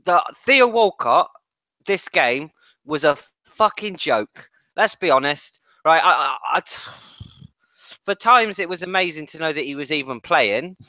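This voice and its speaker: A young man rants about football in a high, very lively voice. He is exasperated and swears often. His headset mic gives a close, dry sound on a video call. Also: a little slow, no room sound. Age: young adult. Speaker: podcast panellist. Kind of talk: casual football ranting.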